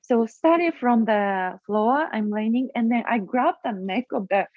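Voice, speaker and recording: A woman with a Japanese accent, a guest in a casual interview, speaking haltingly and searching for her English words. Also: a little high, no room sound. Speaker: guest, acro yogi. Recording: tinny phone-like line.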